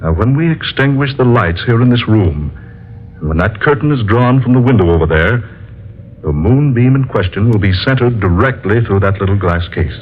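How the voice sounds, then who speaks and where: An actor playing a solemn male inventor who explains his discovery in a slow, lecturing way, in a 1940s radio drama. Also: fairly low pitch, animated, natural voice. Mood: determined. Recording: lo-fi old radio recording with faint hiss.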